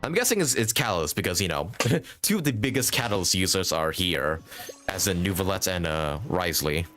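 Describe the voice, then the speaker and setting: A man's voice: low voice, expressive, fairly quick, fairly bright tone, soft background music. A man giving casual, rambling live commentary as he streams a game, thinking aloud and chatting to viewers. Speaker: game livestreamer.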